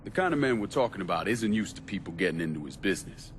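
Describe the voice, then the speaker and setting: An actor playing a cold, menacing crime boss in a video game, speaking tersely and with command. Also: male voice, low voice, big pitch swings, fast, slight background noise. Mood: cold, resolute. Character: cold, menacing young crime boss waging war on the mob. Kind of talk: cinematic game dialogue.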